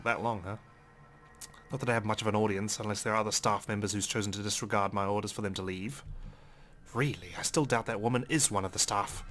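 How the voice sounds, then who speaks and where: A man's voice: on the low side, fairly bright tone. A man reading a visual novel aloud with dramatic narration and joking asides, as a let's player.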